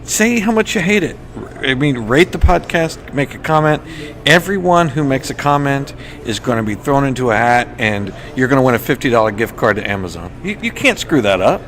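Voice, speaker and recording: A man hosting a podcast in casual chat, enthusiastic and somewhat halting, often repeating words. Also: mid-range voice, expressive, a bit bright. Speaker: podcast host. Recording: faint background noise.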